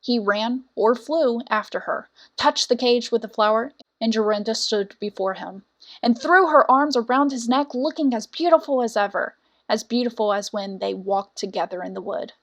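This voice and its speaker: A woman reading a scripted fairy tale as a storyteller, with dramatic, deliberate phrasing. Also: a little high. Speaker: storyteller, audiobook narrator.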